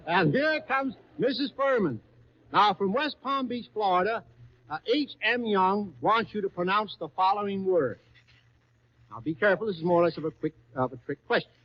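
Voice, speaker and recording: High-voiced 1930s radio quiz host, a man. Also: lively intonation, normal speed. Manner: coaxing, repeats questions and phrases, exclamatory. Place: radio studio before an audience. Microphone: stage broadcast microphone. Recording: muffled, lo-fi 1939 radio broadcast.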